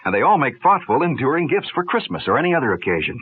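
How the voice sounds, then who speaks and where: Animated man announcer on muffled radio. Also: mid-range voice, fast, slightly rough, no room sound. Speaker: commercial announcer. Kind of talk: scripted 1970s radio advertisement. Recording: muffled narrow-band vintage radio.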